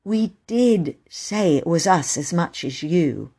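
A woman voicing an earnest young girl in a storybook, a gentle, loyal sister who pleads and insists. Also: a little high, animated, normal speed, pitched above the performer's own voice, natural voice. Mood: gentle, insistent. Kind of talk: storybook character voice.

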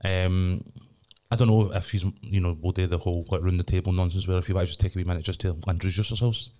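A man's voice: deep voice, fairly even intonation, fast, mellow. A Scottish man hosting a podcast, chatting loosely with lots of ums and restarts. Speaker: podcast host. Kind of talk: casual chat.

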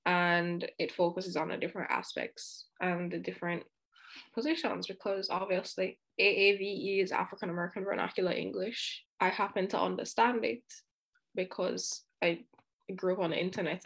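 A woman's voice: fairly low pitch, steady tone, moderate pace, vocal fry, fairly bright tone, low-quality recording. A woman from Jamaica, speaking as a student guest in an earnest, outspoken way with frequent asides. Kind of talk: candid conversational discussion.

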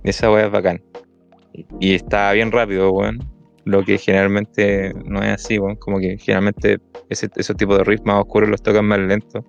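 A young Chilean man talks music in a loose, hesitant way, heavy on slang. His voice is low and gravelly with plenty of fry, and faint music sits under it. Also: flat delivery, normal speed, lo-fi sound. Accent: Chilean Spanish. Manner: laid-back, hesitant, slangy. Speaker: podcast co-host. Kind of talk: casual music chat.

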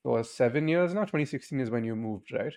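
A man's voice: medium pitch, lively intonation, a little fast, vocal fry, darkish tone. A man co-hosting an interview, asking casual questions and checking facts as he goes.

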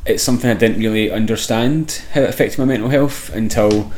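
A man's voice: on the low side, a little fast, darkish tone, slight background noise. A Glaswegian man in his thirties, a podcast guest, speaking openly and reflectively about his life and laughing easily. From Glasgow, Scotland. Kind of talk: candid personal storytelling.

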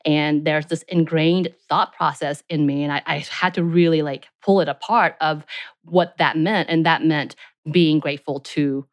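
A woman with a low voice co-hosts a podcast in casual chat. She talks in an excited, rambling way and stumbles over her words now and then. The sound is dry and close. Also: normal speed, no echo. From Asia, raised in the U.S. from age seven. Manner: excited, rambling, apologizes for mumbling. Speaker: podcast co-host.

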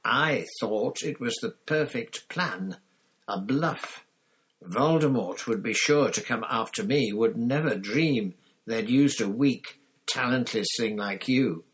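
A bitter, vengeful man, as a reader puts him on in a storybook, hissing venomous accusations. The voice sits a little raised and pushed louder than the narration, sharp with contempt. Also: medium pitch, takes it slowly, breathy edge, voice pushed up. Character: bitter, furious escaped prisoner, grown man. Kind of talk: audiobook character reading.

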